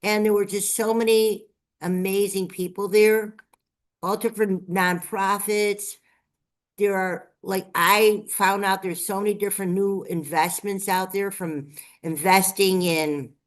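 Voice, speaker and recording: Chatty congested woman on a livestream. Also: mid-range voice. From Las Vegas, Nevada. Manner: chatty and enthusiastic. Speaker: guest. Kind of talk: casual live-stream chat.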